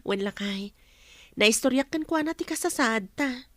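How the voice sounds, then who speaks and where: A woman's voice: a little high, lively intonation, measured pace, dry recording. A woman playing a meek, earnest young wife with an anxious, deferential way of talking, in a radio drama. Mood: earnest, concerned.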